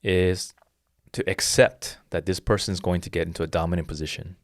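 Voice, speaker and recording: Low-voiced man explaining martial arts philosophy. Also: steady tone, fairly quick, no room sound, studio quality. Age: 30s. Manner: earnest, explanatory, with many restarts. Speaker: jiu-jitsu instructor. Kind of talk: casual interview talk.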